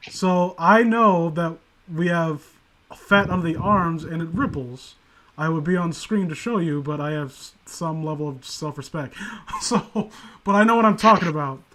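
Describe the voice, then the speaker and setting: High-voiced man, profane joking banter. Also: moderate pace, a bit bright, low-quality recording. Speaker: host of a story reading stream. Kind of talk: casual chat, ranting.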